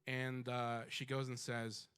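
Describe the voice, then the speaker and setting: A man from Puerto Rico telling a personal story on a small dark stage, with many ums and restarts. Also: medium pitch, level tone, takes it slowly, smooth.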